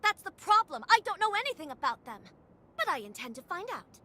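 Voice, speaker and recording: A woman's voice: extremely high pitch, very animated, brisk, sharp tone, full character voice. A woman playing a haughty, overprotective noble sister in a video game cutscene, speaking in a formal, dramatic manner. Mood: stern, challenging.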